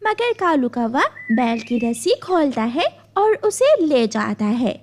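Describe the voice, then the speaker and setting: A woman's voice: sits high, big pitch swings, slow, a little hiss or hum. A woman narrator reading a scripted children's cartoon story.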